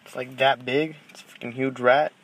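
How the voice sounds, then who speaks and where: A man's voice: medium pitch, little pitch movement, normal speed, a little hiss or hum, rough recording. A young man speaking offhand and joking in a casual group chat.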